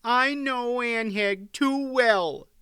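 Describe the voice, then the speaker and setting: A man's voice: high-pitched, a little slow, sharp tone, clean tone, no room sound, studio quality, pitched above the performer's own voice. A man voicing a plump, affectionate little queen who fusses and pleads with a tearful quiver, in an audiobook. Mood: fussing.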